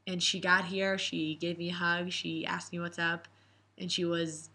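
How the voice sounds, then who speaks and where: A woman in her twenties from Israel, a camp coach, calmly telling a story in an interview. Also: fairly low pitch, a little slow. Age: 20s. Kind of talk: reflective interview answers. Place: indoor room with posters.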